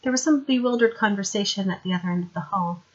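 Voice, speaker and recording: A woman's voice: medium pitch, fast, dull audio. A woman reading a novel aloud as scripted audiobook narration. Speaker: audiobook reader.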